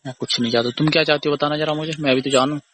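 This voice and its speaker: A man's voice: a little high, steady tone, very fast, fairly bright tone, a little hiss or hum. A young man arguing casually in an accusing, repetitive, aggrieved way on a phone call recording. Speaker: boyfriend or husband on a phone call. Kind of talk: casual argumentative phone conversation.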